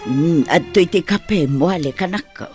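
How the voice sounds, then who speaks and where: A woman playing an anxious, protective mother of a grown son in an audio drama, husky, fretful and pleading. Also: on the low side, moderate pace, a little mellow, faint music behind, natural voice. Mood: fretful, tender. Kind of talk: Ilocano audio drama acting.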